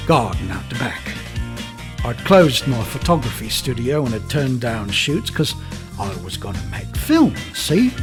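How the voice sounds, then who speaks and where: An actor playing a bitter, boozy failed London photographer in an audio drama, with a coarse, colloquial, rambling way of talking. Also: male voice, mid-range voice. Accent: British, London. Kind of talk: audio drama narration and dialogue. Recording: radio drama with music underneath.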